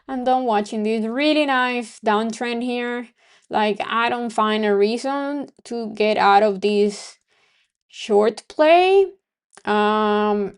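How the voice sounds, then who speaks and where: A woman's voice: fairly high pitch, smooth. A woman from Venezuela with a Venezuelan Spanish accent, hosting a live trading stream in casual, think-aloud commentary full of ums. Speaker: livestream trading host.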